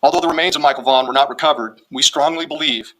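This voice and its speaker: A man's voice: medium pitch, fast talker, fairly bright tone, rough recording. A man reading out a formal press statement as a police spokesman, in official wording.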